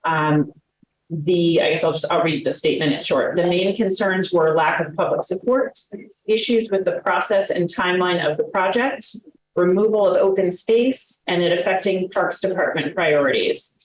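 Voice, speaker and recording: A woman's voice: fairly low pitch, normal speed. A woman chairing a town committee meeting, speaking with many fillers and hesitations. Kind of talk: running a public meeting. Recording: roomy echoey meeting room.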